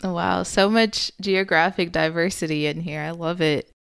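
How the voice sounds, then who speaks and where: A woman's voice: on the low side, fairly even intonation, moderate pace, gravelly, fairly bright tone, clear, resonant tone. A young woman hosting a podcast, reading an intro and then welcoming guests in an upbeat way. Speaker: podcast host. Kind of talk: podcast intro and group interview.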